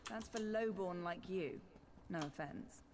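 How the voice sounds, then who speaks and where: High-pitched haughty nobleman. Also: measured pace, in a large hall, noisy background. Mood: condescending. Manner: condescending. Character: haughty high-born man who looks down on commoners. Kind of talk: video game character acting.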